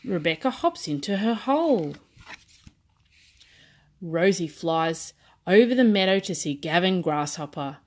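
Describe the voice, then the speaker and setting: A woman narrating children's storybooks at bedtime, with simple, deliberate read-aloud phrasing for small children. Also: fairly low pitch, lively intonation, a little mellow.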